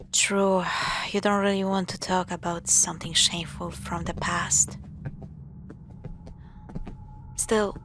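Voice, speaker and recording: Faint noise and ambience sit behind a woman playing a young girlfriend in a spooky audio roleplay. She takes her time with the words. Her delivery is close and affectionate, moving between teasing sarcasm and hushed asides. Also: mid-range voice. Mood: uneasy, concerned. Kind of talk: intimate scripted audio roleplay.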